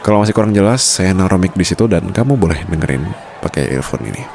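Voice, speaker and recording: Guy doing a suave possessive mafia boyfriend, really deep creaky voice, teasing asmr roleplay. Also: lively intonation, fast, darkish tone. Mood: teasing, calm. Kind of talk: Indonesian boyfriend roleplay ASMR, intimate scripted acting.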